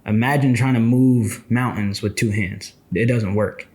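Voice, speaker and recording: Man, mid-twenties, somewhat low voice; unhurried, thoughtful mentor talking straight to camera. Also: steady tone, darkish tone. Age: 20s. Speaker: trading mentor. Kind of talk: casual reflective monologue.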